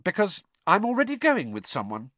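A man's voice: sits high, wildly swooping pitch, brisk, a bit bright, no room sound, voice pushed up, exaggerated voice. A man voicing a haughty, indignant teenage schoolgirl with a lofty, snappish manner in a storybook. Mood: acid, snappish.

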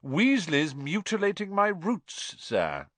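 A man's voice: medium pitch, very animated, measured pace, a bit bright, no room sound, voice pushed up. A man reading a storybook as a spoiled, smirking teenage boy who drawls with whiny false pity. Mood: whiny, wheedling. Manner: drawling, mock-sorrowful.

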